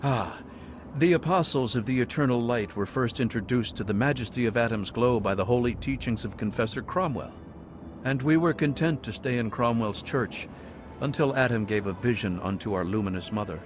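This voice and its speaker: An actor playing a serene, zealous male cult monk in video game dialogue, speaking in a soft, pious, sermonizing way. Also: mid-range voice, normal speed, noisy background, lightly acted. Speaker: game character.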